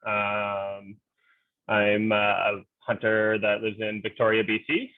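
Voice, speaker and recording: Low-voiced man on a tinny line. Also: slow pace, no echo. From Ontario, Canada. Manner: relaxed, easygoing, many fillers and laughs. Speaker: co-host, game fan group. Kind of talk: casual livestream chat. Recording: dry, tinny phone-like audio.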